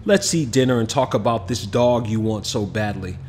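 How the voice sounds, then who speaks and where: A man's voice: fairly low pitch, moderate pace, darkish tone, slight background noise, close to an everyday voice. A man voicing a busy, no-nonsense but loving mother in a storybook, with music behind. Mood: skeptical. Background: background music.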